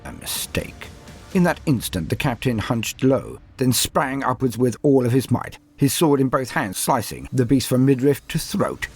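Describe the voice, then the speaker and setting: Guy doing dramatic story narration, deep voice, lots of swing in it, bit of music behind. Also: creaky.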